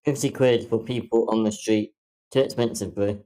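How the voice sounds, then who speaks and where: Man reading a mellow, cocky young street cop. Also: lowish voice, steady tone, normal speed, natural voice. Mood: cocky, haggling. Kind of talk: live script table read.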